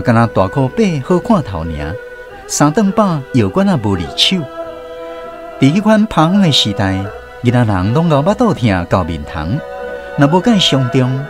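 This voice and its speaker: A middle-aged man narrating a scripted first-person radio story in a folksy, expressive way, with a Taiwanese accent. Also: medium pitch. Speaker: drama narrator.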